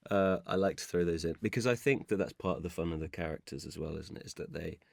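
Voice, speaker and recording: A man's voice: sits low, fast talker, gravelly, a little mellow, dry recording. A man chatting casually and playfully as a voice actor being interviewed, telling stories a little hesitantly. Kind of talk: casual chat answering listeners' questions.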